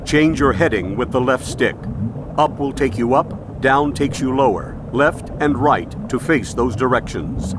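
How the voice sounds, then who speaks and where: A man's voice: mid-range voice, expressive, measured pace, noisy background, natural voice. An actor playing an authoritative male military instructor in a video game, explaining controls methodically. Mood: firm, instructive. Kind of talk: video game tutorial briefing.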